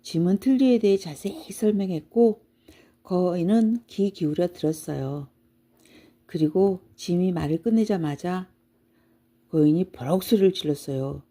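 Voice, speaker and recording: Mellow, low-voiced woman reading a bedtime story. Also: moderate pace. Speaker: storybook reader. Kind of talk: scripted children's bedtime story narration.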